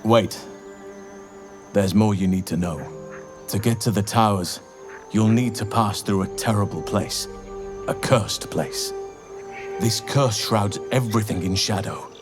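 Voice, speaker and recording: An actor playing a grave, earnest elf druid warrior in a video game, speaking in a measured, solemn way. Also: male voice, lowish voice, over music, natural voice. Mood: grave, warning. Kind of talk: video game dialogue acting.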